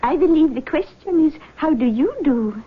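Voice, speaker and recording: An actress playing a solemn, mysterious female spirit medium in a radio drama, with halting, theatrical phrasing. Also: high-pitched, expressive. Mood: composed, commanding. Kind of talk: 1970s radio drama acting. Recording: muffled old radio recording.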